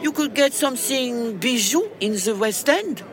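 An actress playing a wealthy, worldly older widow, elegant and teasing, in an audio drama. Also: female voice, fairly high pitch, lively intonation, a little slow, over music. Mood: advising.

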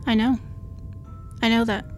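A woman playing a tough, guarded spaceship captain with a soft side, blunt and gruff in manner, in a science fiction audio drama. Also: on the high side, level tone, a little slow, faint music behind, close to an everyday voice. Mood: softened. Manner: blunt, gruff, clipped commands.

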